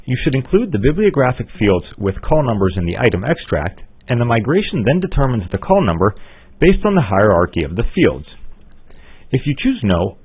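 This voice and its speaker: A man giving methodical, instructional narration for a scripted step-by-step software tutorial. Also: on the low side, slightly rough. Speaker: software trainer. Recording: muffled, phone-like narrow-band audio.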